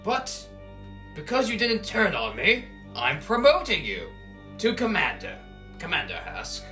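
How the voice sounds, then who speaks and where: A man's voice: high voice, wildly swooping pitch, unhurried, bright, forceful tone, faint music behind, heavily acted. A man voicing a smug, oddly flirtatious Imperial admiral with a mock-formal manner in a comedic fan dub of a video game.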